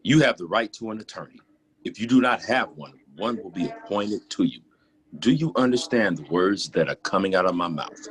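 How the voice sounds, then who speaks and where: A man's voice: sits low, lively intonation, normal speed, a little hiss or hum, poor audio. A man playing a brash, cocky police officer in a drama table read, reciting in a declamatory way. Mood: officious, brash.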